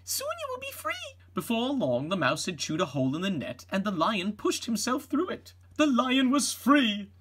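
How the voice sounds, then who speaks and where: Husky, expressive male storybook narrator. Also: high-pitched, normal speed, few pauses. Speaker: read-aloud narrator.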